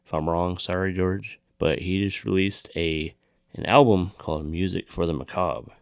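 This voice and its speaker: A man's voice: sits low, moderate pace, gravelly, no echo, studio quality. A man co-hosting a podcast with casual, laid-back, informal chat. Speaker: show co-host.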